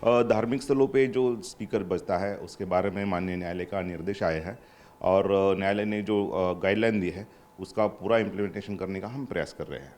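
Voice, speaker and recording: A man speaking as a senior police official in a news interview, formal and procedural with halting delivery. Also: deep voice, darkish tone, soft background music. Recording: faint background ambience.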